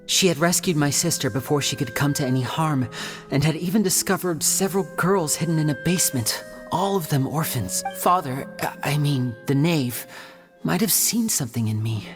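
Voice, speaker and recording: An actor playing an earnest, guarded young stage magician in a video game, speaking in a measured, explanatory way. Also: male voice, on the high side, close to an everyday voice. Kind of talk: video game story voice acting. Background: background music.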